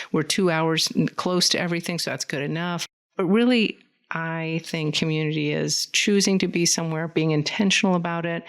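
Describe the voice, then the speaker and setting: Woman with vocal fry, studio interview guest, fluent and earnest. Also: deep voice. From Central Valley, California. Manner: fluent, earnest, rambling storyteller. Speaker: podcast guest, community foundation leader. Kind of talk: conversational interview. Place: podcast studio.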